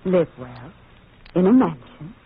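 Haughty, animated marquise. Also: female voice, fairly low pitch, slow, slightly rough, exaggerated voice. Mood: indignant. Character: haughty, aggrieved, demanding marquise. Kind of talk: old-time radio drama acting. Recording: tinny, noisy old radio recording.

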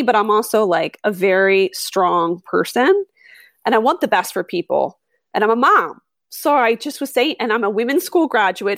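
A middle-aged woman, a podcast host, telling stories in a chatty, animated way with lots of fillers. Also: medium pitch, no room sound, studio quality. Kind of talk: conversational interview.

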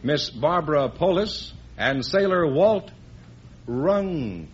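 A man's voice: on the high side, lively intonation, slow pace, slightly rough. A man announcing in a polished, emphatic 1950s radio style. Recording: dull old radio broadcast recording. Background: music.

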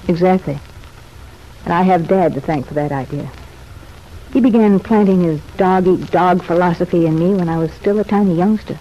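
A woman's voice: lowish voice, moderate pace, natural voice. An actress playing a cultivated, self-examining young woman convalescing in a sanitarium, speaking formal, articulate lines in an old radio soap opera. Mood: earnest, reflective. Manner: formal, articulate, hesitant phrasing. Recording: muffled, noisy old radio recording.

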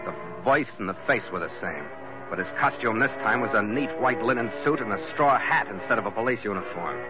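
An actor playing a tough, wisecracking ship's captain with an American accent in a hard-boiled old radio adventure, sardonic and slangy. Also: male voice, fairly low pitch, expressive, brisk, over loud music, close to an everyday voice. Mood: narrating coolly. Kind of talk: hard-boiled 1940s-50s radio acting and narration. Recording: dull vintage radio recording.

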